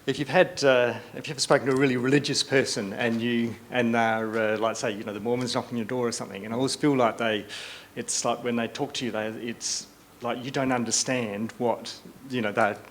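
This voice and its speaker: A man of middle pitch speaks up from the floor of a workshop with a faint hiss behind him. He hesitates a lot, restarts his sentences and reaches for the right words while he shares his thoughts. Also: steady tone, fairly quick. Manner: hesitant, searching for words, many fillers and restarts. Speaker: workshop participant. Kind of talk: spontaneous personal reflection. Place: conference hall.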